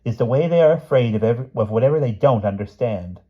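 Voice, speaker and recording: A man voicing a small, mild, absent-minded gentleman in a storybook, with polite, measured, old-fashioned phrasing. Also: mid-range voice, expressive, husky, highs cut off, voice pushed up.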